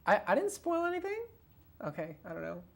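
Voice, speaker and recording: A man's voice: high-pitched, wildly swooping pitch, fairly quick, gravelly, a bit bright. Young man chatting casually about films. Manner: self-deprecating, joking. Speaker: film review show co-host and quiz contestant. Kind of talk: casual review chat.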